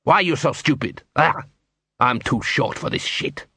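A man's voice: medium pitch, lively intonation, normal speed, sharp tone, slightly rough, no echo, clean studio sound, full character voice. A man voicing a short, exasperated dwarf constable in an audiobook, irascible and snappish. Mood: indignant, furious. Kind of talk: audiobook character voice.

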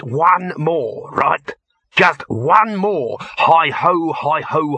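A man's voice: on the high side, pushed and bright, slightly rough, dry recording, voice pushed up, heavily acted. A man doing a furious dwarf overseer. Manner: blustering, threatening, emphatic.

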